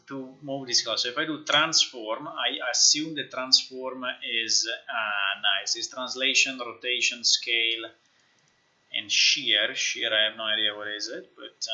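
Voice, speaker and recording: Very bright-voiced man thinking aloud on stream. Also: medium pitch, muffled recording. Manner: thinks aloud, informal, halting. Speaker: live streamer, game developer. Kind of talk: casual live-stream chat while demonstrating software.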